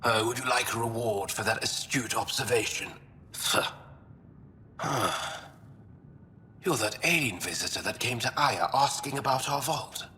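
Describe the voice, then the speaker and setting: A man's voice: a little slow, long pauses, pushed and bright. An actor playing an impatient, suspicious male alien historian in a video game, with a huffy, sardonic delivery. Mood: irritated, sarcastic. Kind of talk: video game dramatic acting. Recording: long reverb, faint rumbling noise.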